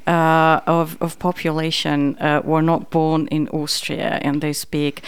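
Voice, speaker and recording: A woman's voice: low-pitched, flat delivery, unhurried, clear, resonant tone. A woman hosting a radio interview in a studio, formally introducing her guest and asking questions. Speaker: radio host.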